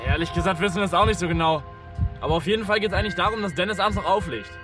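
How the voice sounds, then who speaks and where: Actor as fast-talking, high-voiced young wheeler-dealer. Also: male voice, distant mic, close to an everyday voice. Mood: chummy, persuasive. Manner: slangy, glib. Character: quick-witted young wheeler-dealer, self-styled manager, chummy. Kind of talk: naturalistic radio drama dialogue. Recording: inside a car, off-mic, music behind.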